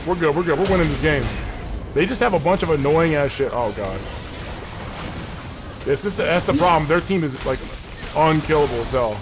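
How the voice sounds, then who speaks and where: A man's voice: a little high, lively intonation, fairly quick, pauses often, music in the background. A man in his twenties chatting casually while gaming, slangy, cocky and playful, on a close desk microphone. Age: 20s. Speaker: gaming streamer. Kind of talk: casual gameplay chat. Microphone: close large desk microphone.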